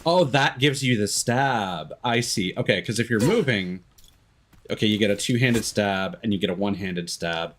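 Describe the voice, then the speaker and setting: A man's voice: fairly low pitch, lively intonation, moderate pace, fairly bright tone. A man chatting casually as a game streamer, candid and sweary, thinking aloud as he goes. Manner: sweary, candid, self-deprecating. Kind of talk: casual live-stream chat and gameplay commentary.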